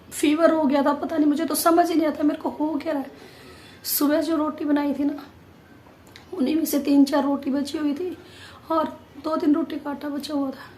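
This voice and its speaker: A woman vlogger chatting casually to viewers, her voice hoarse and nearly gone, pushing hard to get the words out. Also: sits high, darkish tone, a little hiss or hum. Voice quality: strained, forced voice.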